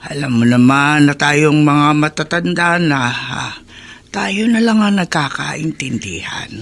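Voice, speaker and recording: Elderly Filipino woman, slow and wistful, husky gravelly voice, expressive radio drama delivery. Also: low-pitched. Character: elderly woman, lonely, wistful confidante of an old man.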